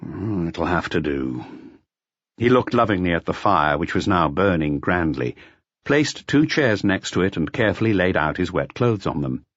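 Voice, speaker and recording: Male storyteller for crime audiobook: lowish husky voice, expressive range, dry but slightly muffled recording. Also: normal speed, no room sound. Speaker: audiobook narrator. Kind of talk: scripted narration of a detective novel.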